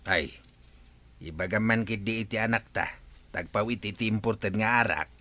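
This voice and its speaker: A man's voice: lowish voice, normal speed, pushed and bright. A man with an Ilocano accent acting a stubborn, hard-drinking village husband in a radio drama. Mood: grumbling.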